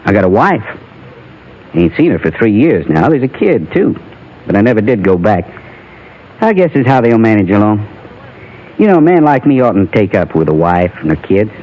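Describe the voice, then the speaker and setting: A man's voice: fairly low pitch, big pitch swings, normal speed, pauses often. An American actor playing a bitter, wistful condemned outlaw in his thirties, with a rustic frontier drawl, in an old radio western. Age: 30s. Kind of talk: 1950s radio western acting. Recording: dull lo-fi vintage radio recording.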